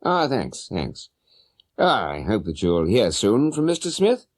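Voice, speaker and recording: A man voicing a shrewd, courteous British gentleman detective in an audiobook, with a clipped, probing manner. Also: lowish voice, wildly swooping pitch, takes it slowly, dry recording, studio quality, full character voice. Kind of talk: audiobook character voice.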